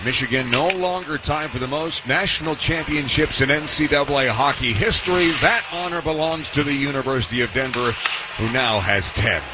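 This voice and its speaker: A man with a North American accent calling live ice hockey play-by-play on the radio, in clipped running description with dry asides. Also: mid-range voice. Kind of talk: live sports play-by-play commentary. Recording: live sports radio broadcast, loud arena noise. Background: loud arena ambience.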